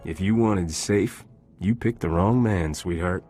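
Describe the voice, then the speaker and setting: A roguish starship captain in a game. He is cocky and dryly flippant, in a low voice with music behind him. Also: a little slow, mellow. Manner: flippant, dry. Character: cocky, wisecracking male smuggler captain. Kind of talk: video game cutscene acting.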